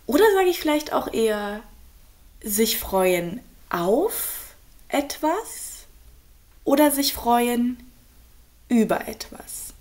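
A young woman, a native speaker and German teacher, calmly explaining grammar in an online lesson with worked examples. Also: fairly high pitch. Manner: slow, clear, patient explaining with examples.

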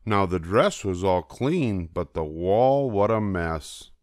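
An older man giving an expressive reading of a children's storybook, with drawn-out emphasis. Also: low voice, no echo, clean studio sound. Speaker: storybook reader.

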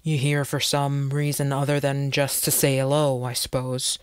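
A narrator voicing a stern religious patriarch of a farm family in an audiobook, deliberate, musing and folksy. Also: medium pitch, level tone, clear, resonant tone, dry recording, clean studio sound, close to an everyday voice. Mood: quiet, guarded. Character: stern, brooding rural patriarch and zealous religious leader. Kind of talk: audiobook character voice.

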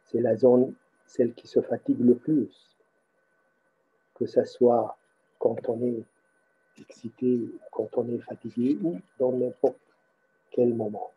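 A man guiding a meditation as its teacher, speaking haltingly in short phrases with pauses between them. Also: mid-range voice, steady tone, husky, highs cut off. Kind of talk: guided meditation instruction.

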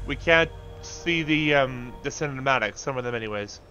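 A man's voice: medium pitch, moderate pace, bright, forceful tone, lo-fi sound, soft background music. A man giving casual gameplay commentary, talking to the characters on screen and swearing mildly. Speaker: gaming video host.

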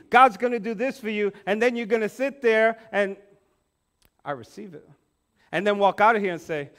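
A man in his forties preaching a sermon on a church stage, animated, acting out quoted voices and swinging from loud to hushed. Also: high-pitched. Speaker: pastor. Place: church stage with band instruments.

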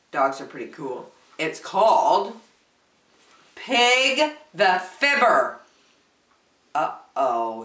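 An older woman hosting story time, reading a picture book aloud to young children with expressive, drawn-out emphasis. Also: on the low side, recorded from a distance. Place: small decorated indoor room. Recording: roomy echo.

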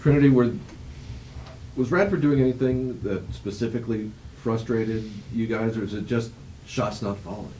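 Male reporter, lowish dark tone, halting questions, faint ambience. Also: a little hiss or hum, rough recording. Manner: halting, searching for words. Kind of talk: asking press conference questions.

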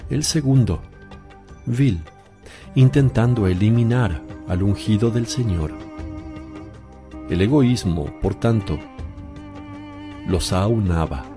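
Grave holy teacher in a radio play, preaching really slowly, soft music behind. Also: male voice, fairly low pitch. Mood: solemn, reproving. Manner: solemn, deliberate, preaching. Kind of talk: radio drama scripture teaching.

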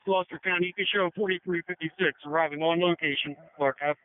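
Male firefighter, slightly high pitch, clipped radio reports, narrow tinny two-way sound. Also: steady tone, quick pace, no room sound.

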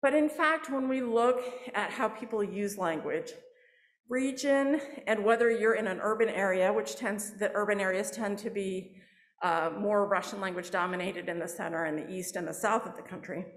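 A woman's voice: medium pitch, normal speed. A woman professor giving a public lecture, explaining with frequent fillers and restarts from a podium microphone. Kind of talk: academic presentation. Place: lecture hall on stage. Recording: echoey lecture hall.

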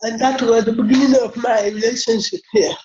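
Halting woman on a muffled video call. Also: fairly high pitch, steady tone, dark tone. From Ghana. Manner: halting, repeats words, modest. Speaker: interview guest, software engineer. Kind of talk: casual interview talk. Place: small office indoors. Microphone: laptop webcam on a video call.

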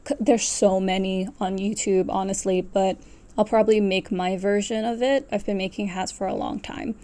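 A woman in her twenties talks casually to camera at home. Her voice is dark and relaxed, sitting at an ordinary female pitch. She rambles a little, muttering to herself and correcting what she just said. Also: moderate pace. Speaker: knitting vlogger. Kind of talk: casual chatty yarn haul to camera. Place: small furnished room at home, indoors.

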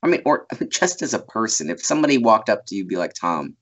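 A man's voice: lowish voice, sing-song, fairly quick, fairly bright tone. A man in his thirties, a voice actor guest, chatting casually over a video call from a small room at home, rambling with fillers and laughs. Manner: rambling, laughs often, many fillers and restarts. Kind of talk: casual interview chat. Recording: muffled video call audio.

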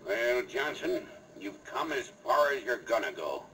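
An actor playing a hostile cattle rancher in a radio western, gravelly, with a Western American accent. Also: male voice, mid-range voice, sing-song, unhurried, bright, forceful tone, exaggerated voice. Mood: menacing. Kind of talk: 1950s radio western acting. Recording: noisy, distant old radio recording.

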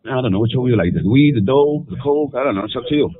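A man with a husky voice working as a phone agent. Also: medium pitch, fast talker, no echo. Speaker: scam call centre agent. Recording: tinny phone line.

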